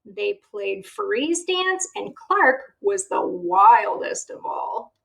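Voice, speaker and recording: A woman reads a picture book to a child in the manner of a teacher. Her pitch is on the high side and moves around a lot, and she goes slowly, pausing to ask the listener questions. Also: gravelly, low-quality recording. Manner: expressive, checks in with the listener using questions. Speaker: teacher reading aloud to a child. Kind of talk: children's storybook read-aloud.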